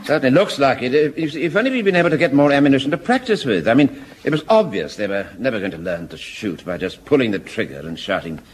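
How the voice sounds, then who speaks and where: An actor playing a mild, languid, gentlemanly sergeant in an old radio comedy. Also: male voice, mid-range voice, very animated, quick pace, hardly any pauses, slight background noise, natural voice. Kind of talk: 1970s radio comedy acting.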